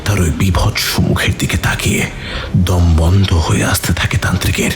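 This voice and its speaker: A man narrating a scripted horror story in a dramatic, breathy, suspenseful manner. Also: low voice, level tone, moderate pace. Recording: music under the voice.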